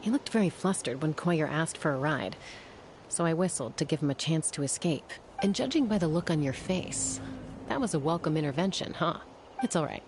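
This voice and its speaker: Cool, low-voiced young warrior. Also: female voice, lively intonation, fairly quick, noisy background. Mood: frank, reassuring. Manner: frank, composed, matter-of-fact. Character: cool, plain-spoken young female warrior, calmly loyal. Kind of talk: anime game voice acting. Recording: music behind the voice.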